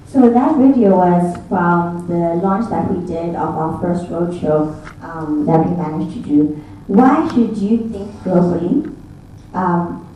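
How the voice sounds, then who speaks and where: Woman presenting at a conference, mellow and measured, heard from far off in a big hall. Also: fairly low pitch, slight background noise. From Zimbabwe. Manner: measured, with frequent fillers. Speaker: conference speaker. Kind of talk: business presentation. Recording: echoey hall, mic from far off.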